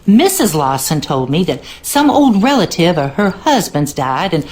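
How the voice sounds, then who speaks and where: Folksy Southern cleaning woman, animated. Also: fairly low pitch, normal speed. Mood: wry, folksy. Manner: folksy, knowing, ominous. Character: superstitious, wry Louisiana cleaning woman. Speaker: game character, cleaning lady. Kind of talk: video game character dialogue.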